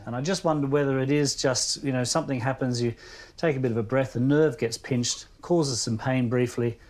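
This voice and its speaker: A man with an Australian accent working as a family doctor, talking a patient through a consultation in a calm, reassuring way and often checking "okay?". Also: medium pitch, brisk. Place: GP consulting room.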